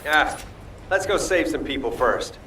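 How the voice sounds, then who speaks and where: An eager young video game fighter with a cook's passion. His delivery swings freely in pitch, casual and playful. Clear noise and game ambience sit behind the voice. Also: expressive, measured pace. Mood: cocky, playful. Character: eager, easygoing young male fighter who loves cooking.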